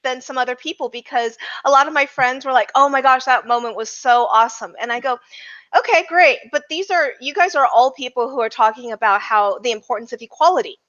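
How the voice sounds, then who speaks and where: A woman with a bright, forceful voice talks through films as a podcast panelist. She is casual but opinionated and emphatic. The audio is somewhat dull and lo-fi, and her pitch sits fairly high. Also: a little fast. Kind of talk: casual film discussion.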